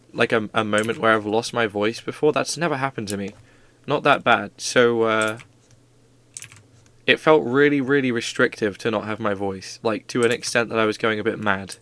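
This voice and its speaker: A man's voice: lowish voice, bright, forceful tone. A man streaming live, chatting casually and rambling playfully with his viewers while drawing.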